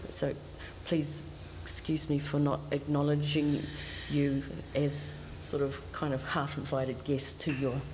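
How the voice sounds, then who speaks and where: A woman's voice: low-pitched, monotone, darkish tone. A woman, speaking as an artist on a panel, often repeating words as she gathers her thoughts. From New Zealand. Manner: halting, repeats words while thinking. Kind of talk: reflective panel talk. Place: indoor art gallery. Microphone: stand mic. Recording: reverberant gallery, faint hiss.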